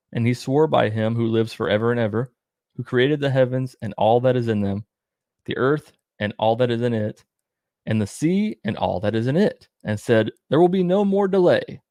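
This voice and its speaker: A man's voice: mid-range voice, normal speed, a little mellow, no room sound, studio quality. A man reading aloud and commenting in a plain-spoken, irreverent way, as host of his own show. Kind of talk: read-aloud narration with casual irreverent commentary.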